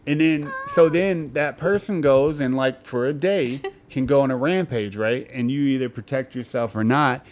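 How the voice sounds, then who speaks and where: A man's voice: mid-range voice, moderate pace, hardly any pauses. A young man co-hosting a podcast in casual banter, telling rambling stories full of swearing and filler words. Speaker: podcast co-host.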